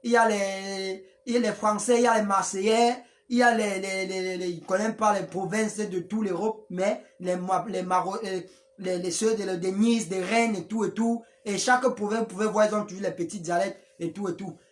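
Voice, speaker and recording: A voice speaks to followers in a live stream, preaching and rambling with frequent restarts. The pitch sits in an ordinary middle range. It sounds recorded close on a phone or webcam. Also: fairly even intonation, moderate pace. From Africa.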